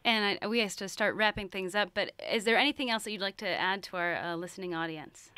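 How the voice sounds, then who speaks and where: An American woman interviews in a conversational radio style, building long, meandering questions. Her voice is mid-pitched, smooth and resonant. The sound is close and dry. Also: fast talker, bright, forceful tone, no echo.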